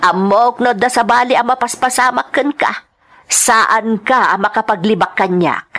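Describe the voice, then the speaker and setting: A woman's voice: fairly high pitch, moderate pace, a bit bright, close to an everyday voice. A woman playing a concerned village mother in a radio-style drama, coaxing and fussing, with an Ilocano accent.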